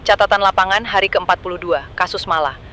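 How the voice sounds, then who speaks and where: Guy doing serious agent field log, high voice, quick talking, noisy background. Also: natural voice. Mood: grave, ominous. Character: grave male field investigator logging case notes. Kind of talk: analog horror field log. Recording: noisy outdoor field recording.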